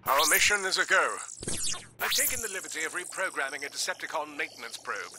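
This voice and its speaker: A pedantic robot scientist from a game, high and very sharp in tone. He uses formal, clipped, wordy phrasing with lively intonation over faint noise. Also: fast, faint music behind. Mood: precise, officious.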